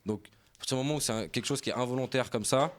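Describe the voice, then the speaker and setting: A man co-hosting a podcast, arguing his point on football rules in casual debate, with halting fillers. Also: mid-range voice. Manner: argumentative, reasoned, hesitant with fillers. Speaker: podcast co-host.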